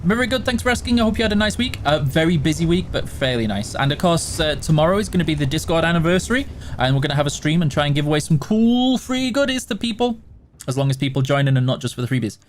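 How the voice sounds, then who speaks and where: A man's voice: on the high side, lively intonation, fast, slight background noise. A man with a Yorkshire accent, streaming a game and casually answering viewer questions in a chatty, self-deprecating way. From Yorkshire, England.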